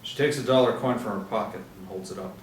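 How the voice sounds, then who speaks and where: Guy narrating a script, lowish voice, bit far from mic, faint noise. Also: quick pace. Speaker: narrator of stage directions. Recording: echoey room, distant mic, faint noise.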